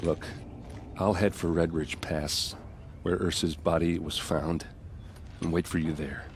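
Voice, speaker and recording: Rugged male fighter, low voice, wry and earnest, for a cinematic game. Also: steady tone, measured pace, slight background noise. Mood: earnest pleading. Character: gruff, wry warrior man, grieving and loyal. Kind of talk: video game voice acting.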